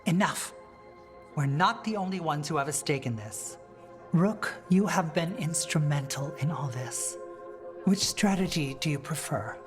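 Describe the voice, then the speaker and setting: A composed, firm fantasy rebel leader. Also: female voice, sits low, animated, over music. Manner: measured, firm, earnest. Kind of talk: dramatic video game dialogue acting.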